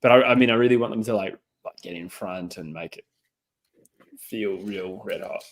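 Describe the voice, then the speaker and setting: A man in his thirties co-hosting a football watchalong in casual chat, relaxed and quick to laugh. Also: fairly low pitch. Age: 30s. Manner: relaxed, laughs easily, thinks aloud. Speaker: co-host of a live football watchalong.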